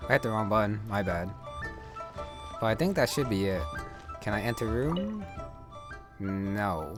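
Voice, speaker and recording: A man's voice: big pitch swings, measured pace, over music. A man commenting casually and offhandedly over his gameplay footage. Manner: offhand, low-key. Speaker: gameplay video host.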